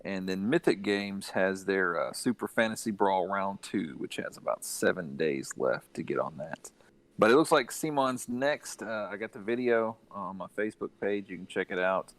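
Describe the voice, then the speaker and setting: A man's voice: low-pitched, expressive, normal speed. A man chatting casually as a podcast panelist, giving informal updates and plugs.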